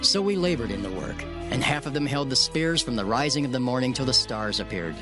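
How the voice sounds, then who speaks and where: A man's voice: mid-range voice, expressive. Solemn male Bible narrator over music. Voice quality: solemn, measured reading. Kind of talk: dramatized King James Bible narration.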